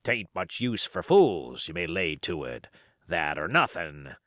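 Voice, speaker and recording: Man performing a wily pirate cook, fifties, low pitch, swooping intonation, salty, boastful, storybook villain. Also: sing-song, a little slow, fairly bright tone, no echo, clean studio sound, full character voice. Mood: flattering, confiding. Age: 50s. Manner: salty, rambling, folksy boasting. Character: wily fifty-year-old one-legged pirate sea cook, boastful and flattering.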